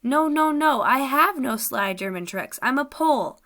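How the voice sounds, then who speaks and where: A woman's voice: mid-range voice, animated, normal speed, fairly bright tone. A desperate young soldier begs earnestly, his voice rising and urgent, as a woman reader puts him on. He sounds lonely and frightened, pleading in a storybook reading. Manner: pleading, emotional.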